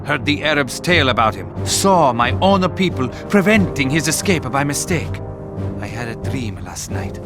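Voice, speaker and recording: An actor playing a pious, wry Italian officer in a video game cutscene, with an Italian accent and theatrical, expressive phrasing. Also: male voice, medium pitch, normal speed, natural voice. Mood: anxious, brooding. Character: pious, wry Italian tank officer, anxious about his brother. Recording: loud music under the voice.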